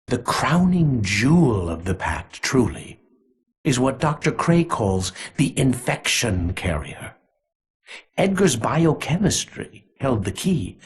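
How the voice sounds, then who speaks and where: An actor voicing a sinister, aloof conspirator in a video game, savoring his words theatrically in a husky, raspy voice. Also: mid-range voice, sing-song, slow pace, heavily acted. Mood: reverent gloating. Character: sinister, aloof conspirator gloating over a bioweapon pact. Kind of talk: scripted video game villain monologue.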